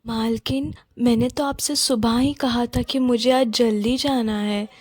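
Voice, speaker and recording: A humble maid from a children's cartoon, played by a woman, meek and apologetic. She speaks softly and slowly in a dry, close recording, always deferring. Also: fairly high pitch, fairly even intonation, no room sound. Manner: deferential, apologetic, soft-spoken. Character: humble, meek poor maid and sweet-maker, a mother. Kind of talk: Hindi children's cartoon story acting.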